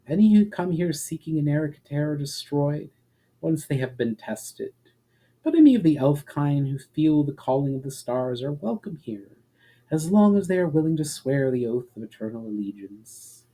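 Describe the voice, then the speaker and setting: Brief: male narrator plays elven woman, smug and testing, grave measured delivery, soft-edged mellow tone, fantasy audiobook. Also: a little high, animated, slightly rough, rough recording. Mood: calm, knowing. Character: composed elf woman, knowing, testing, faintly smug host.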